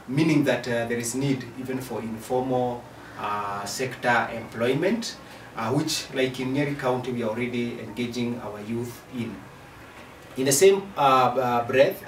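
Kenyan man speaking formally, echoey room. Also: mid-range voice. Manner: halting, formal official phrasing. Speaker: county youth affairs director. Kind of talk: formal on-camera interview. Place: indoor room with bare brick wall. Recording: roomy, distant mic, faint noise.

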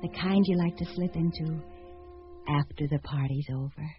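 An actress playing a whimsical, teasing bride in a radio comedy, with an arch, theatrical manner. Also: female voice, low-pitched, normal speed, lightly acted. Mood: fond, wry. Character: whimsical bride Laura weighing five suitors, affectionate and teasing. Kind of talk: radio comedy acting with monologue. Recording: muffled old radio recording, music behind.

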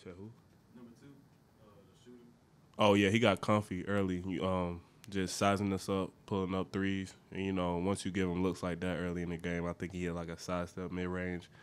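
Young man, very deep voice, monotone, smooth, press conference desk mic. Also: a little fast. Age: 20s. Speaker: college basketball player. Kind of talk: post-game press conference answers. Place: press conference room, seated at a table. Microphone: desk mic on a stand.

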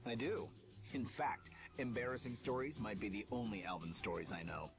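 An actor playing a teasing, droll, smooth-talking man in a video game. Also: fairly low pitch, normal speed, faint music behind.

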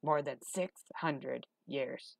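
A woman voicing an ancient male hunchbacked dungeon dweller in a storybook, with a low gravelly growl and halting, broken, childlike speech. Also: no echo, full character voice. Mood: simple, matter-of-fact.

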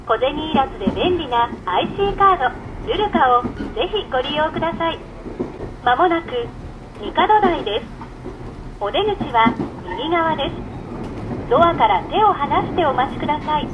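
A woman's voice: animated, normal speed, lo-fi sound. A woman giving scripted, polite and formal onboard train announcements. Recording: very noisy recording inside moving train. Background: train rumble and noise.

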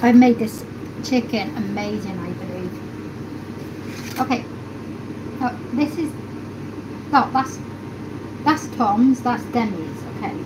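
A woman in her thirties with a high voice talks slowly and chattily into a close phone camera while she cooks in a small home kitchen. She hosts a casual live stream and thinks aloud as she works. Loud noise and passing vehicles fill the background. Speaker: livestream host.

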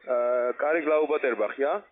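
A man who is a non-native speaker gives a halting, repetitive scripted sales pitch as a telephone trading agent. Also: slight background noise. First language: not German. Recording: tinny narrow-band phone line.

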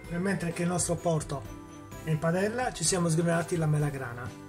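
A man's voice: a little high, fairly quick, music in the background. A man hosting a cooking demonstration in a home kitchen, explaining each step and answering his own questions. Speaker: cooking show host.

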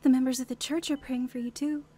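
A woman's voice: sits high, fairly even intonation, fast talker, mellow. An actress playing an earnest, devout wife in a radio drama, acting and narrating her heartfelt testimony. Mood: gentle, assured.

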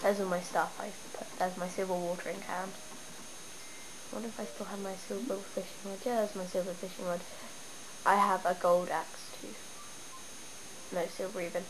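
A young woman with a stuffy cold, chatting and rambling excitedly as she shows off her game town at home. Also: medium pitch, normal speed. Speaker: gamer showing her town. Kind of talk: casual game walkthrough. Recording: noisy home camera recording. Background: steady background noise.

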